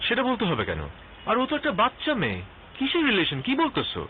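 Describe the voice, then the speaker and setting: A man's voice: high-pitched, wildly swooping pitch, fast talker. An actor playing an exasperated young man in a TV drama, defensive yet coaxing, with a Bangladeshi accent. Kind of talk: TV drama acting. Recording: thin phone-like sound, faint noise.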